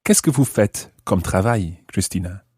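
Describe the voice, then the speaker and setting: A man presents a language lesson in a low, slightly husky voice. His intonation moves a lot as he explains things carefully, and the recording is dry and close. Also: animated, a little slow, darkish tone, dry recording. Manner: explanatory, carefully enunciated teaching. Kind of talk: scripted lesson narration.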